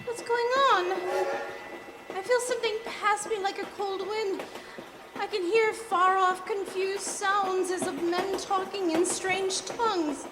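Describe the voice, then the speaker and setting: A woman's voice: extremely high pitch, steady tone, slow. A woman playing a haunted, entranced young lady in a gothic radio drama, intense and theatrical. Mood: entranced, fearful. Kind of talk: live radio drama acting. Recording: echoey stage hall, clear background noise.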